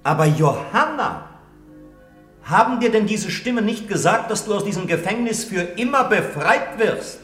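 An actor playing an earnest, anxious priest preparing a prisoner for death in a radio play. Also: male voice, high-pitched, lively intonation, normal speed, a bit bright. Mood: anxious solemn. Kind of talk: German radio play acting. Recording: roomy, music behind.

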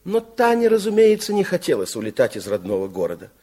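A man narrating a 1960s radio play as a wry, theatrical storyteller with expressive scripted delivery. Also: fairly high pitch, quick pace.

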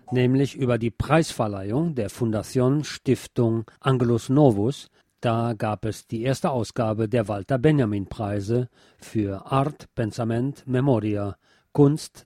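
A man presents a scripted cultural report in the role of radio host. His voice is a little husky and sits at a medium pitch. The sound is dry and close, without room reflections. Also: dark tone, no echo.

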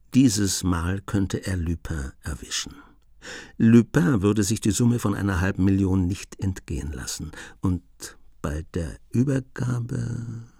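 Low-voiced male radio play narrator. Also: creaky, warm tone, dry recording, clean studio sound.

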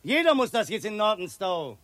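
An actor playing a brusque, officious checkpoint guard in a 1960s German radio drama, with a curt, barking manner. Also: male voice, high-pitched, big pitch swings, moderate pace, fairly bright tone, dry recording.

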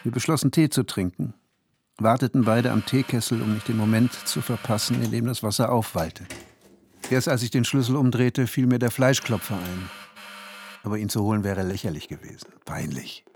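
A man's voice: medium pitch, a little mellow, a little hiss or hum, natural voice. An actor playing an anxious, introspective married man who tells his own story in a radio drama. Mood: relieved, subdued. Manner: introspective, switches from measured narration to agitated pleading. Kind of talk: radio drama narration and dialogue.

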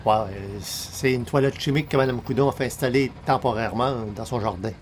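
A man's voice: medium pitch, a little fast, a little hiss or hum, natural voice. A man acting as a casual, matter-of-fact party guest in a radio comedy, speaking in a hesitant, conversational way.

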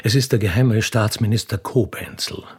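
A man's voice: fairly low pitch, moderate pace, husky. A man narrating a radio play in a scripted, dramatic style.

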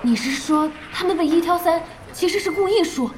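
A woman's voice: extremely high pitch, fairly quick, a little hiss or hum. A woman playing an eager, impatient young game fan in an audio drama, exclamatory and full of questions. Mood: puzzled, indignant.

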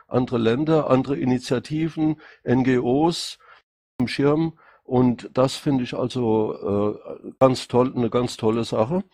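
A middle-aged man with a Rhine-Hessian accent, part Hessian and part Palatine, speaking informally and haltingly as a political candidate answering questions. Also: medium pitch, a little mellow, breathy edge, no room sound, studio quality. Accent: Rhine-Hessian, mixing Hessian and Palatine. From Rhine-Hesse, Germany. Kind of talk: informal spontaneous self-presentation. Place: online voice chat.

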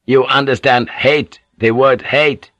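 A man voicing a scheming warlord who speaks halting, clipped English. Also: medium pitch, fairly even intonation, no room sound, pitched above the performer's own voice. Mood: persuasive. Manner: clipped broken English, insistent, softly persuasive.

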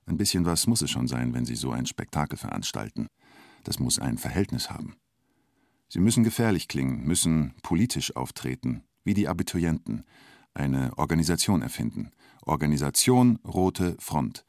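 An actor as the narrator of a radio play about a robber, speaking in terse, deliberate, clipped phrases. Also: male voice, extremely low pitch, animated, darkish tone, dry recording, clean studio sound. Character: male narrator telling a bank robber's story tersely. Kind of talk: radio play narration, short present-tense sentences.

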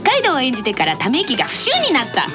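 A teasing, expressive woman hosting a playful radio segment, reading out questions and bantering casually. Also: sits high, rapid-fire. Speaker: radio show host, voice actor. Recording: music playing behind the voice.

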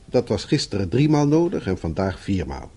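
An actor playing a blunt but concerned office boss in a Dutch radio play, formal and probing, with a husky voice. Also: male voice, lowish voice, big pitch swings, moderate pace, dark tone. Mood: stern, concerned.

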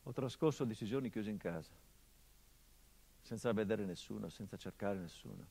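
A middle-aged actor playing a grieving son whose father was murdered, speaking a theatrical monologue in a measured, grave, introspective way. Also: lowish voice, vocal fry, darkish tone, husky.

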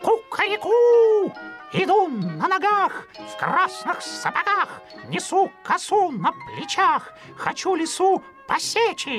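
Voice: very high voice, wildly swooping pitch, slow, fairly bright tone, soft background music, heavily acted. A performer voicing a small, brave, cocky rooster with a crowing, singsong delivery in a children's fairy-tale audio play. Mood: boastful threatening.

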